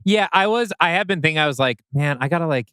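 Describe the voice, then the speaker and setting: A man's voice: a little high, lively intonation, quick pace, pushed and bright, clean tone, dry recording. A man chatting informally as a podcast co-host, talking through creative work. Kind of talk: casual chat about scriptwriting.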